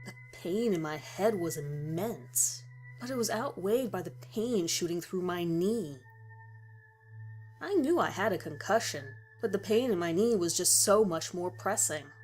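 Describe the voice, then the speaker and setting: A woman's voice: fairly low pitch, lively intonation, a little slow, a little mellow. Woman narrating horror over faint music. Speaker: story narrator. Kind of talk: scripted first-person horror narration.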